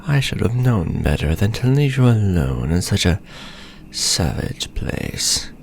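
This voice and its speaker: A man playing a condescending, possessive aristocratic vampire lord in a fantasy audio roleplay, speaking in pompous, drawn-out formal phrases. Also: low-pitched, big pitch swings, vocal fry, a little hiss or hum, full character voice. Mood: mocking, possessive.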